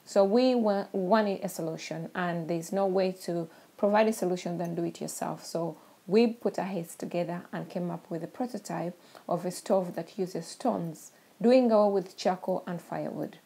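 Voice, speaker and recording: A middle-aged woman with a Ugandan accent, explaining and demonstrating a product she invented, halting often with ums and restarts. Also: on the low side, creaky, warm tone.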